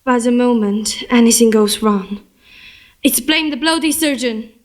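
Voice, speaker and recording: Young woman acting a blunt, indignant high-voiced guest. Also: measured pace, natural voice. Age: teenager. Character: blunt, indignant, sardonic guest in a murder mystery. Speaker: student stage actor. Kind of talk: amateur stage play acting.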